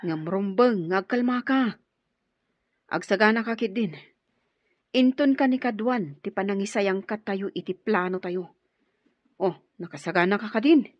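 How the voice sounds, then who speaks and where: A woman playing a steely, scheming accomplice in a radio drama, commanding and conspiratorial, with a breathy voice. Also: mid-range voice, measured pace, pauses often, dry recording, close to an everyday voice. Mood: urgent, plotting. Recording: dull, dry radio drama audio.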